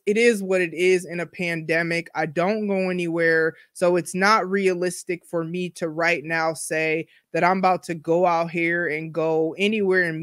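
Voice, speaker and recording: A woman's voice: fairly low pitch, no room sound, studio quality. Candid chatty woman in her forties. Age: 40s. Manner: chatty, candid and slangy, with frequent asides. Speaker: podcast host. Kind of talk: casual solo podcast monologue.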